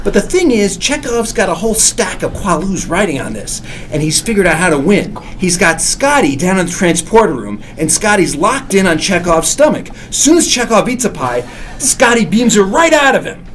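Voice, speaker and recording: An excitable young stoner guy spins a story in slangy, swooping bursts. The delivery is very lively, with light ambient noise behind it. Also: high voice, moderate pace. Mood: excited. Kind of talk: actor at a script table read.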